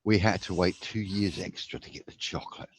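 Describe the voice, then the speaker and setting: A man's voice: sits low, fairly even intonation, brisk, breathy edge, no echo, rough recording. A man in a casual group chat who teases the others and cuts in with quips. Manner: teasing, interjecting.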